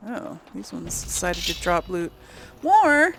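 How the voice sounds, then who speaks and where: A woman's voice: on the low side, very animated, slow, creaky, background noise. A woman hosting a let's play, chatting casually to herself and her viewers as she comments on the game.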